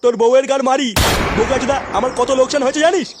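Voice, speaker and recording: A man's voice: very high voice, fairly even intonation, rapid-fire. A man voicing a bullying, lecherous old factory boss in a comic cartoon, with a coarse, scolding manner. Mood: angry scolding. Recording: loud noise behind the voice.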